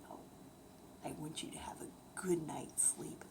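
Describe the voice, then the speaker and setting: An older woman tells a bedtime story in verse to children, with a breathy, often hushed, expressive delivery. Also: low voice, measured pace, in a whisper.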